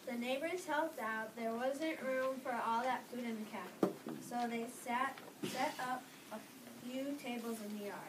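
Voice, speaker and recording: A woman's voice: fairly high pitch, a little slow. A woman narrating a folk story from a script as part of a reader's theater. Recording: distant mic with loud background noise.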